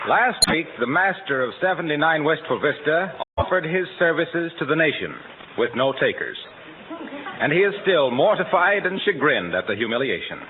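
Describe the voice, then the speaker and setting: A man's voice: fairly high pitch, big pitch swings, normal speed, slight background noise. A man working as a 1940s radio announcer, reading scripted introductions and sponsor copy in a polished, salesmanlike way. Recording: narrow-band vintage radio, faint music.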